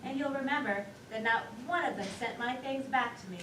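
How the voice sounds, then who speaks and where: A woman's voice: high-pitched, fairly quick, natural voice. A woman on stage playing a proud, chatty, self-important wife in a comedy, gushing and self-satisfied. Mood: defensive, proud. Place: theater stage, recorded from afar. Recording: echoey theater, distant mic, noisy.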